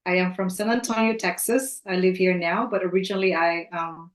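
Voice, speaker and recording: A middle-aged woman from the Philippines, giving an earnest personal testimony in a measured, sincere way. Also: on the low side, steady tone. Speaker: guest, elementary teacher. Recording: echoey room.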